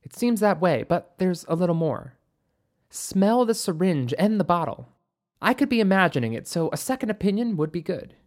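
Woman doctor, acted by a narrator, composed, observant, measured, precise, wryly playful, audiobook character. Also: lowish voice, big pitch swings, a little mellow, voice pushed up. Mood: matter-of-fact.

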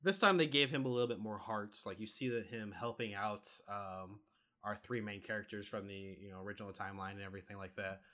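Bright-voiced man chatting about movies. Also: lowish voice, fast. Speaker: podcast co-host reviewing a film. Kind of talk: casual podcast review chat.

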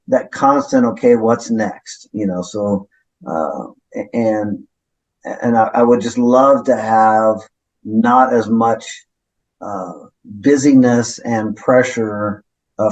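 A middle-aged man, a pastor, answering questions in a reflective, low-key way, often checking in with "right?". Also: darkish tone, poor audio. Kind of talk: conversational interview.

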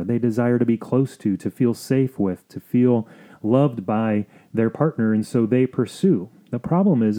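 A man hosting an advice podcast, explaining things in a measured, sometimes halting way. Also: medium pitch, mellow. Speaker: podcast host.

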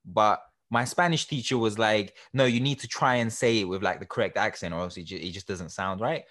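A young man with a lowish voice tells a story in a casual chat. He talks in a lively, self-deprecating way. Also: a little fast.

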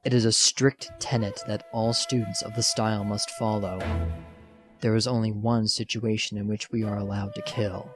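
A man playing a gruff, arrogant young master swordsman with an irritable, blunt manner in an anime-style drama. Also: lowish voice, level tone, normal speed, natural voice. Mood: matter-of-fact. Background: music.

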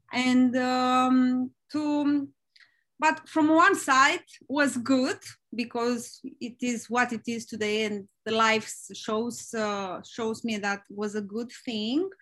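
A woman's voice: fairly high pitch, a bit bright. Hesitant woman. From Romania. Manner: hesitant, many fillers, searching for words. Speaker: guest, life coach. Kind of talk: casual interview.

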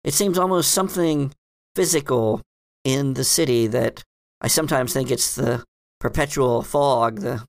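A man voicing a cryptic, hesitant librarian woman who explains hidden lore in a halting, musing way in a tabletop game. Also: mid-range voice, gravelly, no echo, studio quality. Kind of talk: improvised tabletop roleplay.

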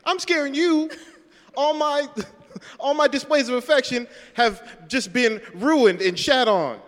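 A man's voice: extremely high pitch, slow pace, a bit bright, full character voice. A man improvising a lovesick, clingy, indignant suitor in a comedy parody of a radio play, whining and pleading. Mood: wounded, pleading. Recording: echoey hall sound.

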